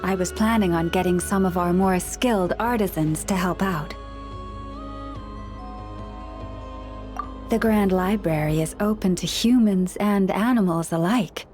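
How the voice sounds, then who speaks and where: A woman's voice: fairly low pitch, faint music behind, natural voice. Serene Moon Chanter, voiced by a woman. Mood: calm. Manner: calm, measured, earnest. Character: gentle, serene young woman Moon Chanter, caring listener. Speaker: spiritual counselor to people and animals. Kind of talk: video game voice acting.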